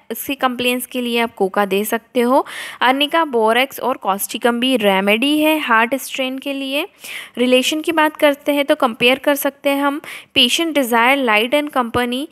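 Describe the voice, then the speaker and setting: High-voiced Indian woman giving a lecture. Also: fairly bright tone. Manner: switches between reading out and explaining. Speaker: homeopathy lecturer. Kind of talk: explanatory lecture, reading notes aloud and explaining.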